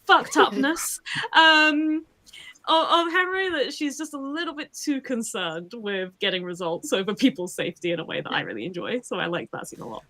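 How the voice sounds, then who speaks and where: A woman's voice: a little high, animated, moderate pace, sharp tone. A woman voice actor chatting casually and expressively as a guest on a livestream, with a giggly manner.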